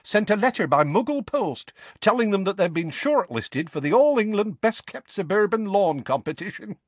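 A man's voice: sits high, big pitch swings, normal speed, dry recording, pitched above the performer's own voice. A man voicing a bold, cheerful young witch with a perky, cheeky delivery in an audiobook. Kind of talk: audiobook character voice.

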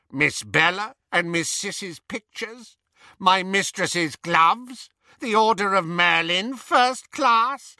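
A man voicing a servile, grieving old male house-elf with a croaking, gasping voice in an audiobook. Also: very high voice, bright, forceful tone, no echo, studio quality, voice pushed up, exaggerated voice. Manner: gasping, wailing, drawn out. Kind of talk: audiobook character voice.

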